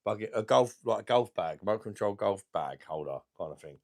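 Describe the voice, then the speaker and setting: A middle-aged man with a deep voice and a sore throat, chatting casually as he hosts a beer channel livestream and reads out viewer comments in a rambling, laid-back way. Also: expressive, fairly quick, creaky. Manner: rambling, laid-back, chuckling between remarks. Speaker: beer review channel host.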